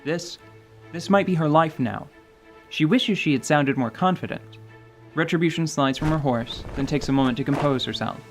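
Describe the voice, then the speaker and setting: A man's voice: a little high, moderate pace. A man giving dramatic, scripted narration for a fantasy radio play. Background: music.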